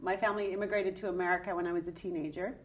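A woman's voice: lowish voice, fairly even intonation, rapid-fire. A middle-aged woman, a guest telling her personal story with candid, searching speech and frequent fillers. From Ireland. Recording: voice somewhat distant from the mic.

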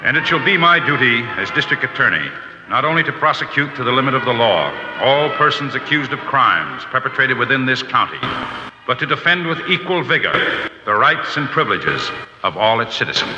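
An actor playing an earnest, authoritative district attorney, solemn and declamatory, in 1950s radio drama narration. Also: male voice, mid-range voice, big pitch swings, fairly quick, loud music behind the voice, lightly acted. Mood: solemn oath. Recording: echoey muffled 1950s radio recording.

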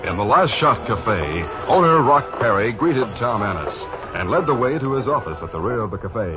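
A man's voice: medium pitch, very animated, moderate pace. A man narrating a western story in a formal, dramatic 1950s radio style. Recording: dull old radio broadcast, music behind.

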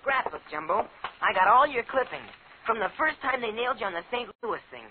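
High-pitched eager teenage boy, old radio. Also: a little fast, narrow, tinny audio. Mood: admiring, excited. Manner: excitable, boastful, slangy. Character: eager, cocky teenage boy idolising his crook father. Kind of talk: 1950s radio crime drama acting. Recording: hissy, band-limited old radio broadcast.

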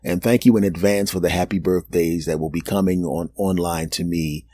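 A man in his fifties hosting a solo podcast, rambling and joking, laughing at his own jokes and dropping into low asides. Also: steady tone, moderate pace, mellow, dry recording. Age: 50s. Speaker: podcast host. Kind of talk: solo comedic podcast monologue.

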